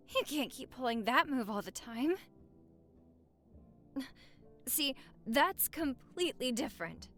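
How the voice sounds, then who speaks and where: Woman acting a high-voiced, flirty newlywed wife. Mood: teasing, affectionate. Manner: teasing, flirty banter with sighs and giggles. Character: playful, flustered, lovestruck newlywed wife. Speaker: audio roleplay performer. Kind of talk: romantic audio roleplay acting, intimate chat to the listener.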